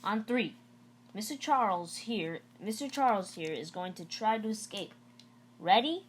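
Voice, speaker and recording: A woman's voice: mid-range voice, lively intonation, measured pace, slight background noise, pitched above the performer's own voice, close to an everyday voice. Woman voicing a brash, emphatic gym coach. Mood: commanding. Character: brash, stocky middle school gym coach, a man.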